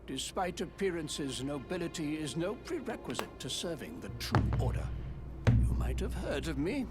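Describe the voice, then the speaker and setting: Self-important lord, high-pitched, lively swooping delivery, haughty, theatrical, fantasy game, music behind. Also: male voice, normal speed. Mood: condescending. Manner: haughty, theatrical, grandiose declamation. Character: pompous, self-important nobleman seeking aid. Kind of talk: scripted fantasy game acting. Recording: background music under the voice.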